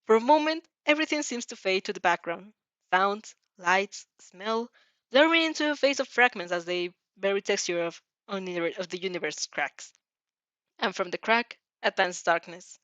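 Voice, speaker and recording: A woman's voice: medium pitch, lively intonation, moderate pace, a bit bright, no echo. Dull, dry recording of a woman narrating. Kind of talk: expressive fan fiction read-aloud.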